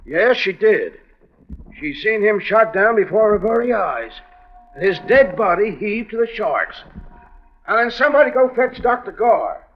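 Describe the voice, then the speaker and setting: A man playing an excitable, rough old salt with folksy ungrammatical speech in an old-time radio drama. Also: high-pitched, unhurried, exaggerated voice. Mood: urgent, grim. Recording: noisy dull old radio recording.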